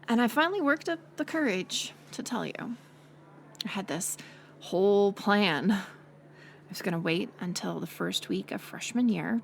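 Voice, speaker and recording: A woman acting as a wounded young woman in an audio roleplay, bitter and confessional. She speaks slowly with a lot of vocal fry, halting and intimate. There is some background noise on the recording. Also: mid-range voice, fairly bright tone, heavily acted. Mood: nervous, hurt. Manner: halting confessional delivery, drifting into breathy near-whispers. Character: bitter, wounded college-age woman, former friend turned bully, confessing.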